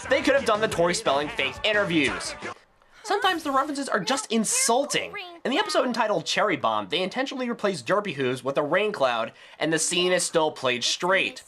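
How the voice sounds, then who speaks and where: A man delivering a scripted critical review in a ranting, sarcastic, exasperated manner. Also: sits high.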